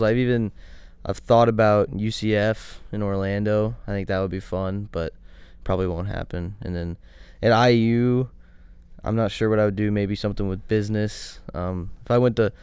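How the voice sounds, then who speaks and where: A man's voice: on the low side, fairly even intonation, moderate pace, a little mellow, clear, resonant tone, no echo. A teenage boy, a student co-host, speaking hesitantly in casual chat. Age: teens.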